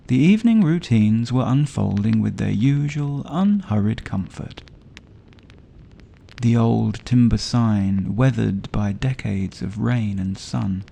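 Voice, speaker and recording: Slow, gravelly man telling bedtime stories. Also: fairly low pitch. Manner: soothing, gentle, unhurried delivery. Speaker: storyteller and host of a sleep story channel. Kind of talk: slow bedtime story narration.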